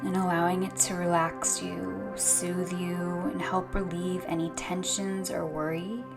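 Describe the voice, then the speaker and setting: A woman yoga instructor leading a gentle guided meditation in a soothing, hushed, unhurried way, with a soft voice that turns breathy at times. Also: fairly low pitch, flat delivery. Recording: soft music behind the voice. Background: music.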